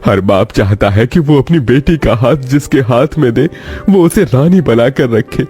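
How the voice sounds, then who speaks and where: A man's voice: mid-range voice, lively intonation, a little slow, dark tone, soft background music, close to an everyday voice. A man playing a humble, emotional poor father of a bride in a cartoon story, with a pleading manner. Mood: tearful gratitude. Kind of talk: Hindi cartoon story dialogue.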